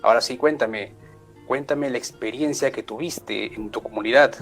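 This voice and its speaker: A man's voice: mid-range voice, normal speed, faint music behind. A man from Peru hosting a live online mystery show, welcoming a guest with many "eh" and "este" fillers. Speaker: host. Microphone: video call.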